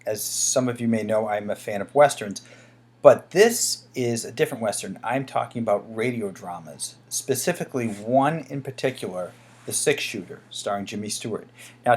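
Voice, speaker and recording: A man talks casually to his viewers as a channel host. He sounds like an enthusiastic fan, rambling along in an informal, conversational way. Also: mid-range voice. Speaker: host of a channel on radio dramas.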